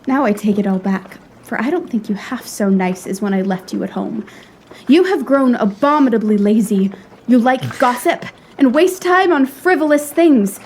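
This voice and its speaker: A woman's voice: on the high side, moderate pace, darkish tone, a little hiss or hum, close to an everyday voice. A woman playing a proud, frank young lady in a period audio drama, speaking in a refined, articulate and earnestly reproachful way.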